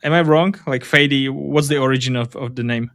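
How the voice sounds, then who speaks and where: A man hosting a casual interview, speaking haltingly as he searches for words. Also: medium pitch, fairly even intonation, fairly bright tone, no echo, studio quality. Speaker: podcast host, interviewer.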